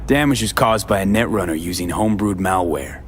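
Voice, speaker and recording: Low-voiced, blunt streetwise mercenary. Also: male voice, fairly quick, a bit bright, a little hiss or hum. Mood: pressing. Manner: terse, clipped, pressing. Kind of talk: video game voice acting.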